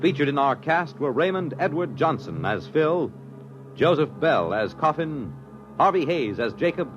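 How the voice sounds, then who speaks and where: A man's voice: fairly high pitch, big pitch swings, moderate pace. A man announcing a 1950s radio drama programme in a formal, theatrical scripted style. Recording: muffled old radio broadcast, music behind. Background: music.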